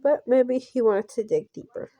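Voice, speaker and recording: A woman's voice: on the high side, normal speed, mellow, no room sound, lo-fi sound. A woman reading a visual novel aloud as a casual playthrough, stumbling over and repeating words as she goes. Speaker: game player narrator.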